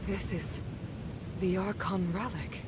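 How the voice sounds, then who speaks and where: A woman's voice: mid-range voice, fairly even intonation, slow, noisy background, close to an everyday voice. An actress playing a proud, resolute young noblewoman in a fantasy game, composed, formal and firm. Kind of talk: dramatic game cutscene acting.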